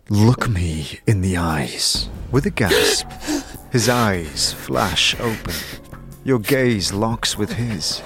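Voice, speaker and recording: A man's voice: medium pitch, vocal fry. A man narrating a story in the second person in a dramatic audio drama style, with music behind him.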